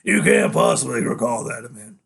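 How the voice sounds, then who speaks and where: Man acting a menacing, gravelly killer father. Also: medium pitch, big pitch swings, fairly quick, fairly bright tone, heavily acted. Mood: cold denial. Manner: menacing, dismissive, insistent. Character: cold menacing killer father, denying and manipulative. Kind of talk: dramatic audiobook character acting.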